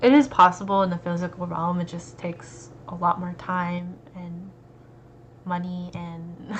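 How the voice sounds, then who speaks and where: A young American woman, an art student, talking casually and relaxed, with lots of fillers. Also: lowish voice, unhurried. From United States. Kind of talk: casual conversation. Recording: dull lo-fi recording with faint noise.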